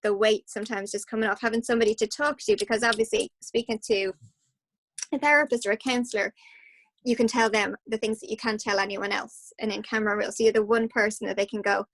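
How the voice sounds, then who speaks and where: Passionate Irish woman, slightly high voice. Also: low-quality recording. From Ireland. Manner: passionate and animated, with quick restarts. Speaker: guest hypnotherapist. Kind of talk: casual interview talk.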